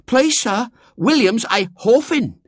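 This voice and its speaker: Man putting on a shrill, brash working-class girl. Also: sing-song, measured pace, no echo, studio quality, heavily acted. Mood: excited, important. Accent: working-class English. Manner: loud, lofty and know-it-all. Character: brash, scornful, bossy poor young girl with a high-pitched laugh.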